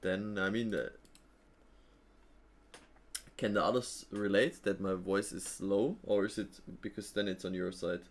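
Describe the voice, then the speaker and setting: A man's voice: on the low side, steady tone, unhurried, pauses often. A man hosting a live trading stream, chatting casually with viewers and thinking aloud with fillers and easy laughs.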